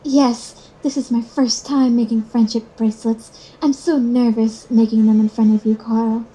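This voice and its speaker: A woman voicing a whiny, overwrought, lovestruck girl in a comedic fan fiction reading, with halting delivery. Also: on the high side, little pitch movement, dark tone. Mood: gushing. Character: dramatic, flustered, whiny girl in love. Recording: clearly noisy home recording.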